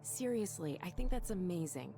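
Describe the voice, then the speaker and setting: A woman's voice: on the low side, very animated, moderate pace, gravelly. A voice actress playing a cheerful, friendly, chatty young woman in a visual novel. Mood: warm, excited.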